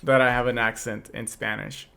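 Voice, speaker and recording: A man's voice: medium pitch, flat delivery, normal speed, gravelly, fairly bright tone, smooth. A young adult man co-hosting a podcast, talking in a casual, reflective way with many restarts. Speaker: podcast co-host. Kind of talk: casual chat.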